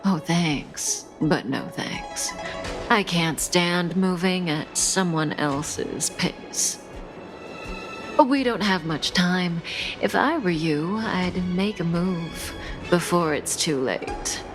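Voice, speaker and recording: Teasing outlaw woman in a game, lowish voice, super unhurried, music behind her. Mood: coy, composed. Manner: languid, coy, amused. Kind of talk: video game voice acting.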